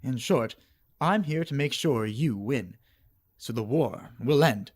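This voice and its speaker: A man voicing a confident alien soldier and aide in an animation, speaking in a measured, earnest way. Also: mid-range voice, lively intonation, darkish tone. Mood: composed, assured. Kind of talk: amateur animation voice acting.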